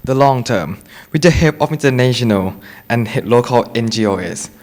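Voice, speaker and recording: A man's voice: mid-range voice, expressive, normal speed, darkish tone. A young man from Thailand opening a student group presentation in a formal manner, addressing the audience. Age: young adult.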